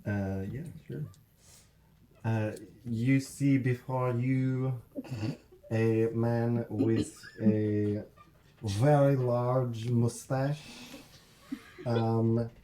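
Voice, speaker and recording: A man's voice: medium pitch, fairly even intonation, mellow, a little hiss or hum. A man playing a tabletop game, speaking hesitantly as he describes his character. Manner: slow, hesitant. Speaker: player. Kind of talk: casual tabletop chat.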